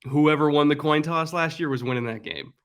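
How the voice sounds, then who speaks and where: A man's voice: fairly high pitch, fairly quick, a bit bright, clean tone. Confident man giving football analysis. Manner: confident, opinionated, explains at length. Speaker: guest analyst. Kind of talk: casual sports analysis chat.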